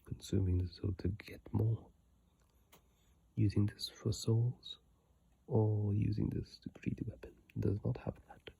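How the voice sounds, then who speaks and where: Male ASMR reader: hushed, husky, gravelly at the edges, unhurried and breathy. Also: lowish voice, fairly even intonation, no room sound. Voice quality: breathy, husky, mostly whispered. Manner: slow, hushed, drawn-out delivery. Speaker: ASMR game content creator. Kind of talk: ASMR reading and game commentary. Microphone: iPhone mic.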